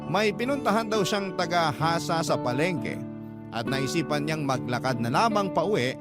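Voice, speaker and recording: A man's voice: a little high, lively intonation, normal speed. A man narrating a first-person Tagalog story as a radio drama storyteller. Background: prominent guitar music.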